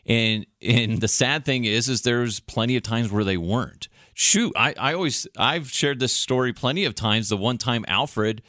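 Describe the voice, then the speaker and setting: An American man hosting a podcast, talking casually and telling anecdotes in an animated, mildly salty way. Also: lowish voice, no echo, clean studio sound. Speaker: podcast host, former radio producer.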